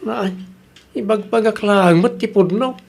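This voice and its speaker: A woman's voice: mid-range voice, measured pace, creaky, darkish tone. A woman playing an admonishing mother who corrects her grown child in an Ilocano comedy radio drama, with a Filipino accent.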